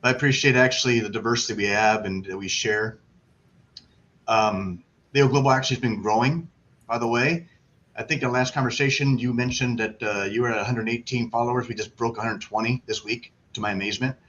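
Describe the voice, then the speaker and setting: A man's voice: mid-range voice, brisk. A man from Florida chatting casually as a guest on a livestream video call, brash, joking and self-deprecating. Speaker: guest on an online networking livestream. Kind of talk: casual chat. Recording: dull lo-fi webcam audio, loud background noise.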